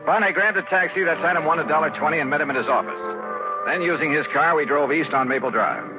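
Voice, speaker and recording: An American actor playing a shrewd, wry insurance investigator in vintage radio drama style, clipped and probing. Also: male voice, on the high side, fast, over music, lightly acted. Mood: brisk, businesslike. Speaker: lead actor and narrator of a detective radio drama. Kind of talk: 1940s-50s radio acting, scripted narration. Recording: muffled, noisy vintage radio recording.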